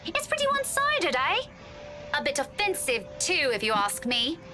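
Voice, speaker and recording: A woman's voice: high-pitched, huge pitch swings, normal speed, very noisy. An actress playing a sassy, outspoken young woman in a video game, blunt and sarcastic. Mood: defiant sarcasm. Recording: background music.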